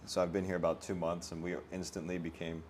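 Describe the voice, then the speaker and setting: A man hosting a casual podcast chat, relaxed and prompting his guest with short questions and replies. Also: sits low, fast, a little hiss or hum. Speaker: podcast host.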